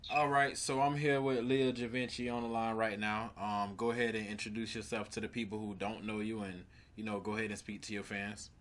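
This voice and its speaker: A man's voice: fairly low pitch, level tone, brisk, hardly any pauses, fairly bright tone. A man interviewing a musician, asking loose questions padded with fillers. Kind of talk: casual interview.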